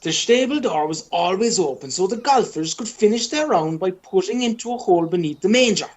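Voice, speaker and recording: A woman with a husky voice, narrating a scripted amateur radio play. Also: medium pitch, lively intonation, brisk, darkish tone, close to an everyday voice. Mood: storytelling.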